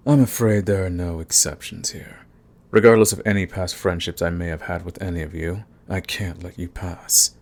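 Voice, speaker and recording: Man acting a deep-voiced, smug villain. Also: steady tone, darkish tone, lightly acted. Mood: cool mocking. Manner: taunting, smug, unhurried threats. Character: cocky, menacing male villain, coolly fond of his enemy. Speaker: villain in an audio roleplay. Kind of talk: ASMR roleplay acting, addressing the listener.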